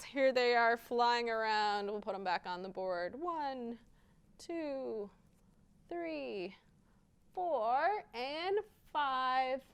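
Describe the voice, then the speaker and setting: A woman hosting a children's storytime, reading aloud expressively and speaking directly to young listeners. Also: sits high. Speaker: library storytime host and children's reader. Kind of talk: picture-book read-aloud with interactive rhymes for young children.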